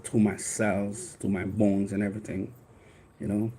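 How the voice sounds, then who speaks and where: Man, 50s, Haitian accent, native Creole speaker, fairly low voice, life coach monologue, earnest, rambling. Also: little pitch movement, moderate pace, warm tone. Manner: rambling, earnest, frequent restarts and fillers. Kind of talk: personal storytelling monologue.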